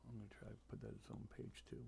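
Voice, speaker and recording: A man with a fairly low, creaky voice talks casually on a home livestream. He is halting, often repeating himself and thinking out loud as he explains. There is noticeable noise in the background. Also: level tone. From New England, United States. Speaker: livestream host, radio scanner hobbyist. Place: room at home.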